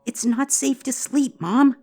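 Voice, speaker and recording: A woman's voice: high voice, measured pace, darkish tone, breathy edge. A woman voicing a cute, frightened little filly pony in a dramatized story reading, pleading and childlike. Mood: frightened, upset. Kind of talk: dramatized fan fiction reading. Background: background music.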